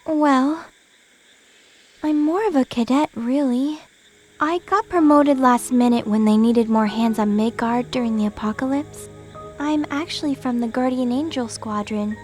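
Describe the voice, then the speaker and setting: With faint music underneath, a woman voices a young guardian angel in an audio drama. The voice is high, and the manner is gentle, apologetic and full of self-doubt. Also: measured pace. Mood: shy, gently teasing. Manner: soft-hearted, apologetic, hesitant.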